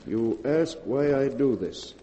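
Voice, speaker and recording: A man's voice: mid-range voice, animated, takes it slowly, warm tone. Tense man in echoing vintage radio horror. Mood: grave reading. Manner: urgent, theatrical delivery. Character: tense guilty man trapped in a cave with his lover. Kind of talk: 1940s radio horror drama acting. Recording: muffled vintage radio, long hall reverb.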